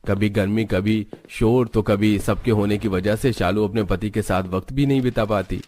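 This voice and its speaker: A man's voice: lowish voice, steady tone, normal speed, darkish tone, a little hiss or hum. A man narrating a scripted cartoon story.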